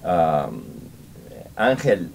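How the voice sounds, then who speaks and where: A man interviewing guests, asking halting questions. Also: low voice, sing-song, gravelly, darkish tone. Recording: distant off-mic voice with faint noise.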